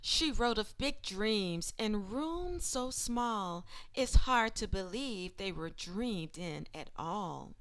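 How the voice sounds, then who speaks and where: A woman reading aloud like an educator sharing a children's book, with deliberate and expressive phrasing. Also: medium pitch.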